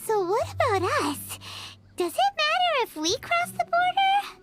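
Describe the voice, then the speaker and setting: A woman voicing a tiny, chirpy female fairy companion in a video game, with a squeaky, bubbly voice. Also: sing-song, measured pace, heavily acted. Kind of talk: game voice acting. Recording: game audio with loud music.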